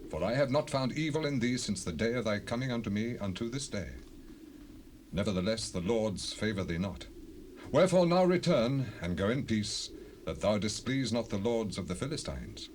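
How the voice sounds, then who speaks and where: A man's voice: lowish voice, sing-song, normal speed, a little mellow. A man playing a kindly, regretful ancient king in a Bible drama, declaiming in an earnest, stately way. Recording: faintly noisy 1970s cassette rip.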